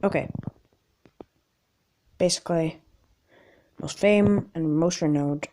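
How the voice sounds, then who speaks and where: A woman with a raspy voice in an amateur, casual video, rambling and reading aloud in a jokey, self-deprecating way with stumbles. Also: deep voice, slow pace, dark tone. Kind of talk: casual rambling vlog with articles read aloud.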